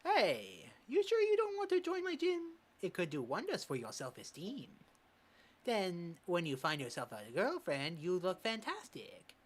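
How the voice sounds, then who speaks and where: A performer voicing a blunt, teasing old male gym coach in a high, bouncy put-on voice in a fan dub. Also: fast, few pauses, fairly bright tone, natural voice. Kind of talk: amateur fan dub of a medical drama.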